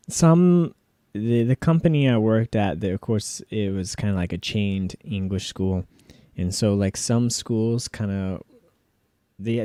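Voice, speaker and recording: Guy with a lowish voice chatting casually over old clips, quick to chuckle, really dry sound. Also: mellow, no echo. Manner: laughs readily while reminiscing. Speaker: vlogger reacting to own old videos. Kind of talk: casual reaction commentary.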